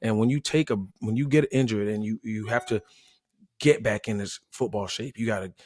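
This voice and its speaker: A man's voice: on the low side, moderate pace, a little mellow. An American man giving casual sports analysis in an emphatic way, restating his points for weight. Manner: emphatic, repeats himself, says "listen". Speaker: former player turned sports analyst.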